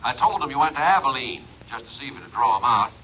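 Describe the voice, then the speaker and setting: A man's voice: medium pitch, big pitch swings, fast, natural voice. A man playing a suspicious small-town Texas sheriff in a 1950s radio drama, plain-spoken and folksy. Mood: wary. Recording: dull old radio recording, faint noise.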